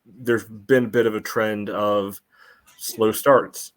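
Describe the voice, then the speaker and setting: A man's voice: on the low side, steady tone, slow pace. A man co-hosting a sports podcast, chatting casually with a dry, wry sense of humour. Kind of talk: casual chat.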